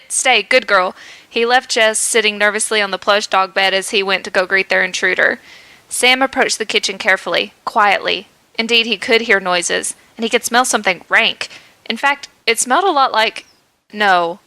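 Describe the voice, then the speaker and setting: A woman's voice: mid-range voice, normal speed, bright, forceful tone, no room sound, low-quality recording. A woman hosting a podcast, reading a comic story aloud in a playful way and laughing at the text, into a podcast microphone. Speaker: podcast host.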